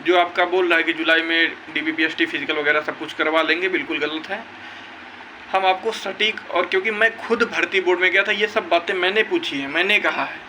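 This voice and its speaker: Bright, high-voiced young man, insistent. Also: a little hiss or hum, low-quality recording. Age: young adult. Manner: emphatic, insistent, repetitive. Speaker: exam-news video creator. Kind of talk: informal update to viewers, recounting a meeting.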